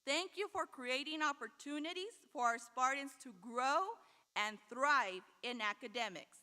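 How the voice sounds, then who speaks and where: A woman giving a formal commencement address as a school principal, in measured, deliberate short phrases. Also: sits high, bright, forceful tone, clean tone. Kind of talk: formal prepared ceremonial speech. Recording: echoing hall PA with long reverb.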